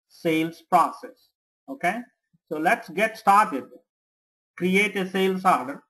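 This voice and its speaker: Software trainer for screen tutorial: unhurried, slow delivery, short instructional commands with frequent check-ins. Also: mid-range voice, rough recording. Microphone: screen-recording voice-over.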